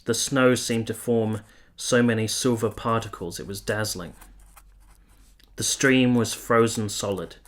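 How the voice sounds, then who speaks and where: A man acts a sincere young military officer in a period radio drama. His voice sits low. He speaks in measured, literary phrases, tender and reflective, as if setting down a memoir. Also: fairly even intonation, lightly acted. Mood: reflective, wistful. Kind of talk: radio play acting and literary narration.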